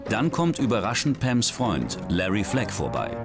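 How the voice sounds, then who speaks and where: Man, very low voice, lively intonation, dramatic documentary narration, music under the voice. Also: unhurried. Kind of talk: scripted true-crime narration. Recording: studio voice-over with music behind.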